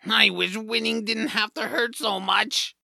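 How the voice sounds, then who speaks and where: A woman voicing a small, grumbling, cowardly troll with a creaky, whiny, theatrical delivery in a family audio drama. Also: fairly high pitch, steady tone, a little slow, bright, forceful tone, no echo, clean studio sound, full character voice. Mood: gloomy. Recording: music under the voice. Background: background music.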